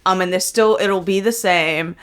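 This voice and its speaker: A woman's voice: on the low side, moderate pace, fairly bright tone, clean tone. A young woman chats as a podcast co-host. Her delivery is casual and comedic, with blunt, teasing remarks and easy swearing.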